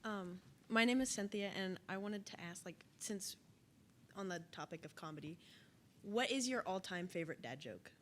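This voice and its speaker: A woman's voice: medium pitch, expressive, normal speed, slight background noise. A woman from the audience chatting casually with a panel guest. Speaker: audience member asking a question. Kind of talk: casual chat.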